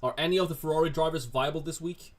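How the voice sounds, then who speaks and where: A man's voice: a little high, fast talker. Casual man hosting a livestream. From Sweden. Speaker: livestream host answering chat questions. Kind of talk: casual livestream chat, reading and answering viewer questions.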